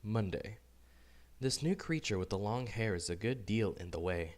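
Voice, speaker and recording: A man voicing Adam as a grumpy, bewildered, solitary diarist, weary and fussy, in a deadpan storybook diary reading. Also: lowish voice, moderate pace, close to an everyday voice. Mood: puzzled irritation. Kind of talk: first-person storybook diary narration.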